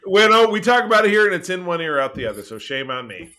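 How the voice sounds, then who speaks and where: A middle-aged man co-hosting a podcast, chatting casually about entertainment news in an animated, emphatic way and repeating phrases for effect. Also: high-pitched, fast talker. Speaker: podcast co-host.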